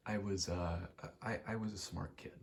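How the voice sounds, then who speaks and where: A young man giving a soft-spoken, halting, reflective ASMR ramble, telling personal stories in a hushed voice close to the mic. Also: low voice, fairly even intonation, creaky, slight background noise. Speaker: ASMR creator.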